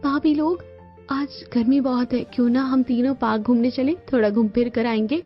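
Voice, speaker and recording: Woman acting high-voiced, earnest young Shipra, a daughter-in-law. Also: fairly even intonation, normal speed, close to an everyday voice. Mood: persuasive. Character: Shipra, thoughtful, caring young daughter-in-law planning family harmony. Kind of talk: Hindi cartoon story acting. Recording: muffled lo-fi sound with music bed.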